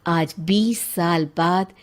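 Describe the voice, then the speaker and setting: A woman's voice: on the low side, slow. A woman telling a story as its narrator, reading scripted narration.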